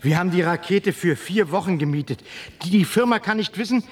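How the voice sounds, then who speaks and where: An actor playing a nervous stranded space traveller in a radio play, agitated, stammering and repeating words. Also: male voice, lively intonation, a little fast, husky. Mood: uneasy reasoning. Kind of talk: German radio play acting. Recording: faint noise and low rumble.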